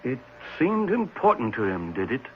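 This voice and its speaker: A man's voice: medium pitch, wildly swooping pitch, normal speed. A man playing an assured, inquiring sanitarium doctor in a 1970s radio drama. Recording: dull, slightly noisy 1970s radio recording.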